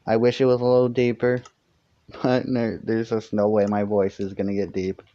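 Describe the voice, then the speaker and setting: A young man with a somewhat high voice chats on a live stream. He rambles and restarts his sentences constantly, filling every gap with "like" and "you know". The audio sounds a little dull and lo-fi. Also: little pitch movement, moderate pace, warm tone. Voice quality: fairly high-pitched for a man. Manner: rambling, many fillers and restarts. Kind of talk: casual live-stream chat.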